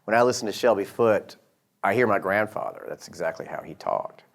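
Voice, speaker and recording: A middle-aged man from Georgia with a slight Southern accent, giving a lecture with many pauses and fillers. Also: on the low side. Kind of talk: lecture to an audience.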